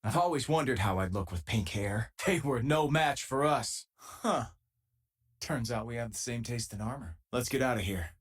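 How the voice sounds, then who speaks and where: A man playing a loyal, easygoing former miner and spaceship crewmate in a video game, with a husky, casual, wry delivery. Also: mid-range voice, expressive, moderate pace. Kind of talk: video game companion dialogue.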